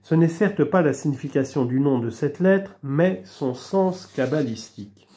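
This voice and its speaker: A man slowly reading aloud from an old theology book, measured and deliberate, with small restarts. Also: on the high side, animated, dark tone. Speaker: reader of a religious book. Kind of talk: slow read-aloud of a theology text.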